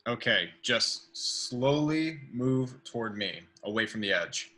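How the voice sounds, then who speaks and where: A man playing a terse, deadpan superhero in a script table read. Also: mid-range voice, slow pace. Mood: tense, careful.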